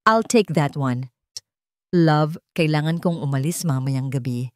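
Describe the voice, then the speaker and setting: A woman's voice: deep voice, measured pace, darkish tone, no room sound, studio quality. A woman voicing a troubled, secretive, resolute husband in dramatic story dialogue. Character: troubled, secretive adult billionaire husband, guilt-ridden and resolute.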